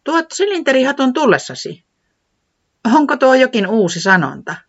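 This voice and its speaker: Nainen esittää iloista, vieraanvaraista säämiestä korkealla äänellä tuhkaisessa äänitteessä. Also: female voice, high voice, big pitch swings, highs cut off, voice pushed up, heavily acted. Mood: cheerful. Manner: friendly, beaming, hospitable. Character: cheerful hospitable weather man, eager and chatty.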